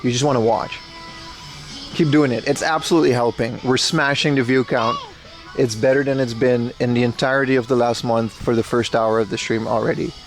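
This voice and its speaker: A young man livestreaming as he browses a secondhand shop, chatting excitedly and thanking viewers, recorded on a handheld phone. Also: mid-range voice, fairly quick, faint music behind. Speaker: streamer. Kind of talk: casual IRL livestream chat.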